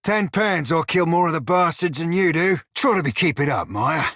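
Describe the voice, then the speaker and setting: Salty rogue for a game, husky, real swingy pitch, crude n teasing. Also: male voice, expressive, moderate pace, fairly bright tone, no room sound, clean studio sound. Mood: brash, fighting. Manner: salty sailor slang, drawling, roguish. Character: blue-skinned roguish pirate shiphunter, salty, crude, teasing, protective. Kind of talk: video game character dialogue and combat barks.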